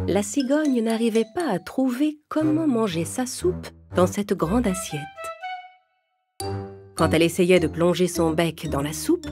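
Calm woman narrating a fable. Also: on the low side. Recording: music playing under narration.

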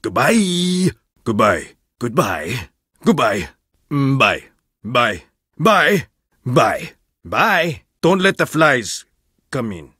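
A man's voice: medium pitch, very animated, drawn-out words, pauses often, pushed and bright, slightly rough, no room sound, clean studio sound, full character voice. An actor playing a stern, scornful male archaeologist in a video game, harsh and commanding as he scolds his student. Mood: curt. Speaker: archaeologist mentor.

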